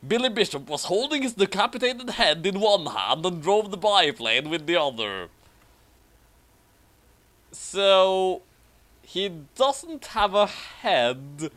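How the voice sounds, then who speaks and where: A man reading a story aloud in an animated, dramatic way with joking commentary. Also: high voice. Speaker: host reading fan fiction aloud.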